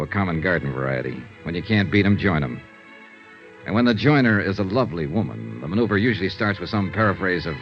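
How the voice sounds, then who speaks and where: An American actor playing a cool, skeptical insurance investigator in a vintage radio noir, with dry, clipped, understated delivery. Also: male voice, deep voice. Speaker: actor in a radio detective serial. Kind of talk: 1950s radio noir acting and first-person narration. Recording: dull vintage radio recording, music behind.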